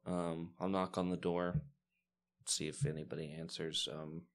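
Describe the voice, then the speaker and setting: A man playing a tabletop game, talking casually and halting as he searches for words. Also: low-pitched, flat delivery, a little hiss or hum. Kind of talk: casual in-character roleplay and chat.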